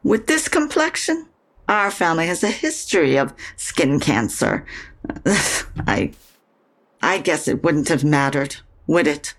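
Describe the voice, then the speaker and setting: A woman's voice: low-pitched, very animated, slow, exaggerated voice. An actress playing a guarded, brittle widowed mother of a grown daughter in naturalistic audio drama dialogue. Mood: guarded, subdued.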